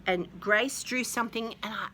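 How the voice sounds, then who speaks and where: A woman reading a picture book to young children with chatty, gushing commentary and expressive, exaggerated emphasis. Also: fairly high pitch, normal speed, slight background noise. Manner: gushing, enthusiastic, talking to small children. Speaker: children's storyteller and host.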